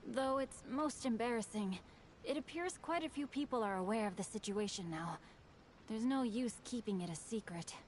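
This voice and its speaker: A woman's voice: a little high, moderate pace, slight background noise, lightly acted. A woman voicing a polite, bookish, easily flustered teenage boy with formal, earnest phrasing in an anime game. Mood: flustered, resigned.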